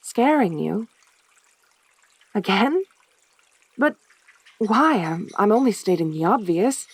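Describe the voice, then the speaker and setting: A woman playing a lonely, possessive centaur girl in a soft-spoken audio roleplay, with a halting, stammering delivery. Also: medium pitch, animated, slightly rough, no echo, full character voice. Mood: desperate, forceful.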